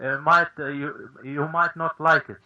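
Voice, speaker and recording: A man's voice: fairly high pitch, steady tone, measured pace, sharp tone, highs cut off, a little hiss or hum. A man calling in, speaking hesitantly with stumbles. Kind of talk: caller in a chat.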